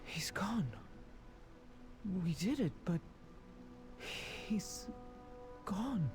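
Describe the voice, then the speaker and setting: Earnest, slow-spoken stone-folk leader. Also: female voice, mid-range voice, very animated, a little mellow, soft background music. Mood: stunned grief. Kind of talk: dramatic game voice acting.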